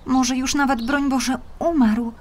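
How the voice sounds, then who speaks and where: A woman's voice: sits high, fairly even intonation, unhurried, pitched above the performer's own voice. A woman voicing an earnest, anxious little girl in a fairy tale, pleading and wondering with emphasis.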